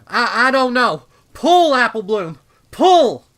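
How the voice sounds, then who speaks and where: A man voicing a panicked, protective big-sister farm pony in a horror story, forceful, urgent and stammering. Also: very high voice, very animated, takes it slowly, voice pushed up, exaggerated voice. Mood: panicked, shouting.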